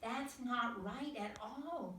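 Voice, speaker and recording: Woman putting on a high, fussing mother duck. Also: slow, fairly bright tone. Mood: flustered, instructive. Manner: exclamatory, dramatic. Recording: roomy, distant, faint background noise.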